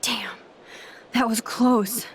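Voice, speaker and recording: A woman's voice: a little high, steady tone, very slow, slight background noise. An actress voicing a thoughtful, geeky, self-doubting teenage girl in a video game, earnest and bookish with some wry humor. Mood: relieved, gentle. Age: young adult. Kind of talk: naturalistic video game acting.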